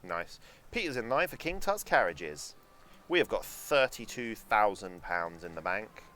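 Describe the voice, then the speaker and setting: A man's voice: on the low side, sing-song, a little slow, pushed and bright, a little hiss or hum. A young man streaming a theme park game at home, chatting with his chat in a sarcastic, rambling way and swearing now and then. German is his first language. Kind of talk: casual live-stream commentary.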